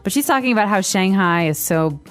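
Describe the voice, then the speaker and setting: A woman's voice: medium pitch, expressive, fairly quick, smooth, soft background music. A woman from Chicago, co-hosting an English lesson podcast, explaining phrases emphatically. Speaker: podcast co-host, English teacher.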